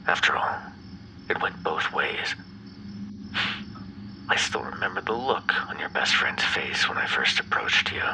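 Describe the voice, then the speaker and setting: A man playing an obsessive, bitter, menacing ex-lover in an ASMR roleplay, speaking in a breathy, brooding half-whisper. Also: very deep voice, animated, noisy background, heavily acted. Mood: bitter, wounded. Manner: slow, brooding, theatrically menacing. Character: obsessive, bitter yandere male vampire ex-lover, menacing captor.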